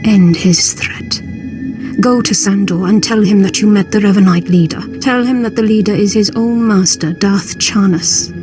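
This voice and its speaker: An actress playing an earnest, conspiratorial Sith cult leader in a video game, speaking in a measured, solemn way. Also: female voice, medium pitch, over music, natural voice. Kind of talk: video game dialogue acting.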